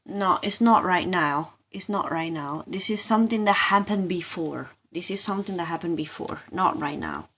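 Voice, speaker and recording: A woman hosting a live tarot session, talking informally and haltingly to viewers, often asking questions and repeating her phrases. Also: lowish voice, a bit bright, breathy edge.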